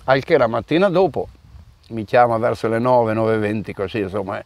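A man's voice: on the low side, a little fast. A middle-aged man, the event organizer, explaining at length in an outdoor interview with frequent restarts. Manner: hesitant, restarts often, storytelling. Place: outdoor park by a car park.

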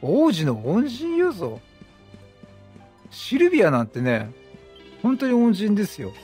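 A man's voice: sits high, wildly swooping pitch, unhurried, a little mellow, slightly rough. A man chatting casually as he commentates on a video game, with game music behind him. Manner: chatty, reads dialogue aloud in acted voices. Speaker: let's play streamer. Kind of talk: casual game commentary with improvised character dubbing.

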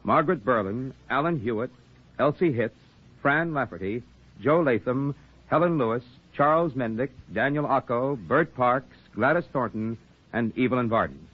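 A man's voice: medium pitch, lively intonation, normal speed, breathy edge. A man announcing in a formal, scripted 1940s radio style. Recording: narrow-band old radio, faint music.

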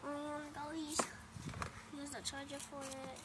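A woman's voice: high voice, monotone, slow. A young girl filming a casual phone vlog, rambling and apologizing to her viewers as she shows off a toy car in a garage. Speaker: vlogger showing a toy ride-on car. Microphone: handheld phone held close. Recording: loud background noise.

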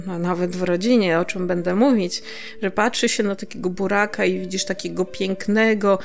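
A woman's voice: mid-range voice, steady tone, moderate pace, creaky. A woman from Poland co-hosting a podcast in casual chat, often searching for words and chuckling. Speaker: podcast co-host.

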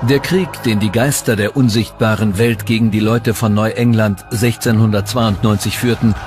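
A man with a fairly low voice gives scripted commentary for a history documentary. Music is clearly audible behind him. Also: steady tone, moderate pace.

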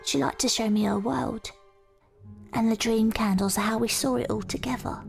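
Woman playing haunted young Victorian woman, hushed near-whisper narration, very gravelly voice. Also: a little high, steady tone, normal speed, soft background music. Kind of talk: first-person audio drama narration.

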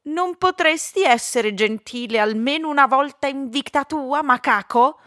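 A salty, blustering seafaring tomcat from a storybook, played by a woman. He exclaims in a raised pitch, recorded dry and close. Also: unhurried, sharp tone, dry recording. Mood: exasperated.

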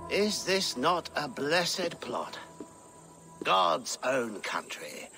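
An actor playing a smug, ambitious middle-aged abbot in a video game, jovial, unctuous and pompous. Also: male voice, fairly high pitch, very animated, extremely slow, creaky, pushed and bright, soft background music, heavily acted. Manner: unctuous, pompous, savouring words. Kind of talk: video game cutscene acting.

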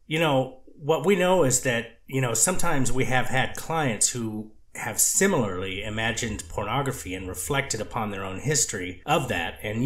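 A man reads a treatment counselor testifying in court, hedging his answers with fillers and restarts. His voice is mid-pitched and even. An echoey room surrounds it. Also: close to an everyday voice. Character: male treatment counselor witness, hedging and careful. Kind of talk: readers theater courtroom reading.

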